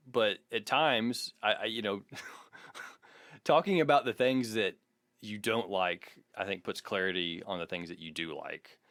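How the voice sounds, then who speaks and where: A man with a fairly low voice talks casually as a podcast co-host. The sound is dry, with very little room around him. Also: a bit bright, dry recording. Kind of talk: casual conversational podcast talk.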